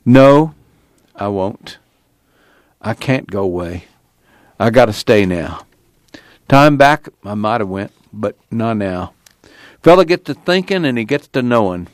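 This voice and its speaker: Man acting lonely rural drifter, resigned, wistful, folksy dialect, swooping pitch, dry close sound, audiobook character. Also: lively intonation, unhurried, long pauses, no echo.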